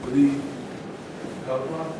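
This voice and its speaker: An outspoken, militant male athlete, acted by a man, speaks with scorn in a stage play. He is distant from the microphone in a heavily echoing room with a noticeable rumble. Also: little pitch movement, slow, lightly acted. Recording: echoey room from far off, rumbling noise.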